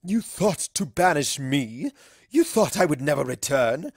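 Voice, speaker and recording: A man acts out a dark female pony villain from a storybook tale. The delivery is grandiose and menacing, slow and loud, with dramatic swoops. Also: very animated, slightly rough, dry recording, studio quality, heavily acted. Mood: menacing, triumphant. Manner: slow, booming, theatrical.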